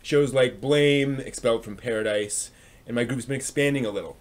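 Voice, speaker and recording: A man hosting a live stream in casual, halting conversation, reading out and answering chat comments. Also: medium pitch.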